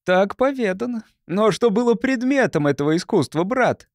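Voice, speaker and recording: A man's voice: sits high, expressive, normal speed, no echo, clean studio sound, voice pushed up. A man voicing a sarcastic, mocking young monk with needling, falsely polite speech. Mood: sardonic. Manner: needling, feigned politeness, exclamatory.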